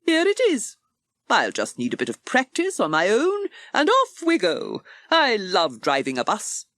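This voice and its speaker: A woman playing a jolly, brisk older village lady in a children's audiobook, hearty and exclaiming. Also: medium pitch, huge pitch swings, fairly bright tone, no room sound. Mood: cheerful, eager. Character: Miss Hubbard, jolly, brisk village spinster. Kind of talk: acted children's audiobook dialogue.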